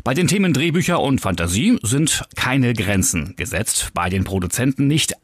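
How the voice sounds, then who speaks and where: A man's voice: on the low side, no room sound, studio quality. A man, narrating a scripted magazine podcast as its host and putting interview questions.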